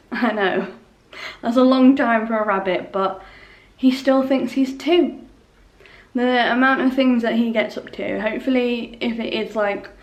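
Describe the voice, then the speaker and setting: A woman's voice: mid-range voice, distant mic. A young woman from England with a Nottingham accent, chatting casually in a home vlog by a window, rambling with lots of fillers. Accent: Nottingham English. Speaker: vlogger.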